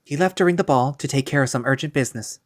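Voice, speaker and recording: A man's voice: mid-range voice, quick pace, pitched above the performer's own voice, lightly acted. A man voicing a stiff, formal male servant at a royal court who speaks curtly and properly. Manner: curt and proper.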